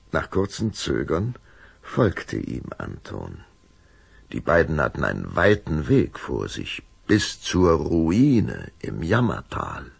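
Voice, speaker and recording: A man narrating a children's audio story from a script in a dramatic, deliberate storytelling manner. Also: very deep voice, creaky, breathy edge, no echo.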